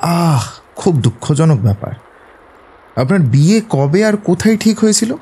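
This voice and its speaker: Male detective, audio drama: composed, probing, husky mellow voice with lively intonation. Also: a little high, exaggerated voice. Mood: measured, inquiring. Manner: measured, probing questioning. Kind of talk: Bengali audio drama acting.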